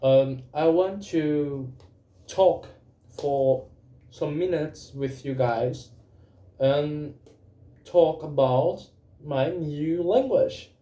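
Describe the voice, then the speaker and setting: Man, Brazilian accent, young adult, Portuguese native, slow deliberate pace, hesitant with fillers, echoey room. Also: fairly high pitch, dark tone. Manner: hesitant, many fillers and self-corrections. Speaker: vlogger. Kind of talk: casual spoken journal, language practice.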